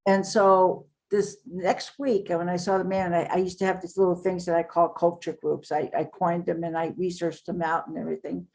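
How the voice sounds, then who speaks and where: An older woman speaks as the guest on an interview podcast, her voice sitting a little low. She tells her stories earnestly and in a rambling way, often pausing on fillers. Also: flat delivery, a little mellow, low-quality recording. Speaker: author and guest. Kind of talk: casual interview talk.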